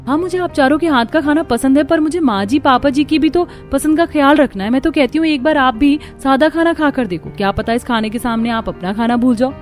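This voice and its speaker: Young cartoon bride played by a woman, high voice, polite and thoughtful, light music behind. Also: fairly quick, hardly any pauses, lightly acted. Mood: earnest. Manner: earnest, polite. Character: cheerful, thoughtful young newlywed daughter-in-law.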